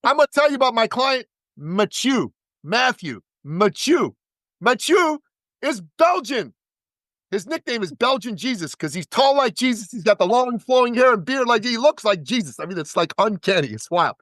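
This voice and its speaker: Guy with a high voice hyping his coaching, punchy and repeats stuff, dry close sound. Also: sing-song, normal speed, a bit bright, no room sound. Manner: emphatic, repeats words for stress. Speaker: podcast guest, business coach. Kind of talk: energetic sales pitch.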